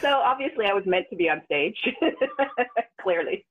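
A woman from Western Canada with a husky, breathy voice that sits low but swings widely as she talks. She laughs often and leaps into high squeals when quoting people. The sound is narrow and phone-like. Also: normal speed. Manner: animated, laughs often, jumps into high pitch for quoted voices. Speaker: guest performer. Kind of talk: casual interview storytelling.

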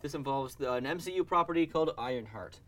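A man's voice: medium pitch, fast, fairly bright tone. A man hosting a podcast, running through entertainment news in a casual, chatty style. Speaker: podcast host. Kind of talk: casual pop-culture news chat.

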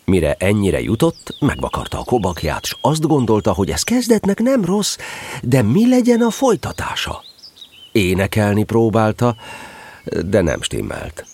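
A male narrator reads a storybook tale with very lively intonation. His voice is on the low side and husky, and his delivery is expressive and scripted.

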